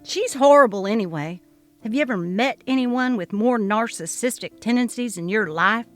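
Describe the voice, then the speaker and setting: A woman's voice: on the high side, animated, moderate pace, lightly acted. A woman voicing a sassy, emphatic female coworker who loves gossip, in a dramatic story reading. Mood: indignant, scornful.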